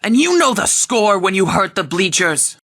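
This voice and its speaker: An actress playing a grave, loyal woman of a post-apocalyptic gang in a video game, speaking in a measured, solemn way. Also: mid-range voice, sing-song, a bit bright, dry recording, studio quality. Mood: cold, accusing.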